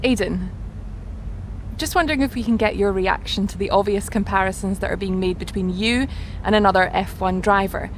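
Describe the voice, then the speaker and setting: A woman's voice: mid-range voice, a little fast, slight background noise, close to an everyday voice. An actress playing a pointed female press reporter in a game cutscene.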